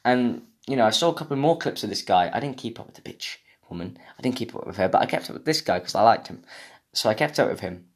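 A man's voice: medium pitch, expressive, fast. A young British man hosting a podcast, rambling through a casual comedic rant, sweary and mock-indignant. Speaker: podcast host.

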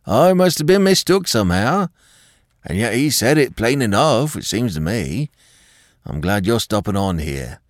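Man voicing an old village gardener, folksy, obstinate, swooping pitch, dry sound. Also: big pitch swings, a little slow, no echo. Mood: chatty. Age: older. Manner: folksy rustic dialect, chuckling. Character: old male village gardener, stubborn and placid.

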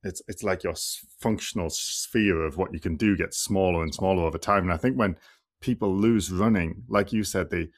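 A man's voice: sits low, normal speed. A man hosting a podcast, talking in a thoughtful, rambling way with many fillers and restarts. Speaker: podcast host and clinician. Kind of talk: casual podcast conversation.

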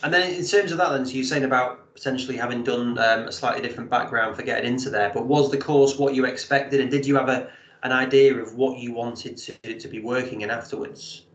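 A man's voice: medium pitch, steady tone, a little fast, roomy sound, poor audio. A man hosting an interview and asking questions in a friendly, hesitant way. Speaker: interviewer host.

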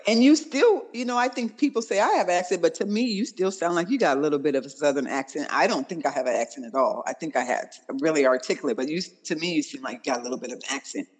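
A woman in her forties hosting a dating talk, frank and slangy, coaching with animated, blunt questions. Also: sits low, fast talker, few pauses, slightly rough, big-room sound. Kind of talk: casual chat.

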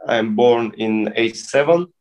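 Man in his thirties, halting English. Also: mid-range voice, darkish tone, low-quality recording. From Bulgaria. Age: 30s. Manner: halting non-native English with many restarts. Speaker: guest breakdancer. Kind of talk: casual interview.

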